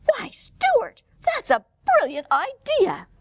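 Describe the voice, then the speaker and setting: A woman as an eager, sweet high-voiced lady snake. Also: huge pitch swings, slow pace, no room sound, studio quality, heavily acted. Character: kind, lonely, hospitable female desert snake.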